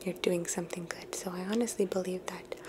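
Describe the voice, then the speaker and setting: ASMR host, woman, gentle unvoiced whisper, reflective, close quiet delivery over a faint steady hiss. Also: fairly low pitch, fast talker. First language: English. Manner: soft unvoiced whisper, musing and thoughtful.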